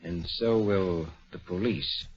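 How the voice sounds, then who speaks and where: An actor playing a smug, taunting scoundrel of a suitor in an old-time radio melodrama. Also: male voice, low voice, slow pace, loud music behind the voice. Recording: dull, noisy old radio recording.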